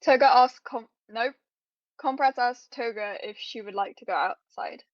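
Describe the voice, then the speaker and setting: Female tabletop player, slightly high voice, lo-fi and dry recording. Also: moderate pace, no room sound.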